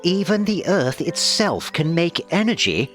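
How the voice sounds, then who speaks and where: Young male axolotl for a storybook man acting: raised pitch, lively swings, curious, eager and easily amazed. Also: unhurried, soft background music. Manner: eager, wondering, questioning. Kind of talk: children's storybook character voice.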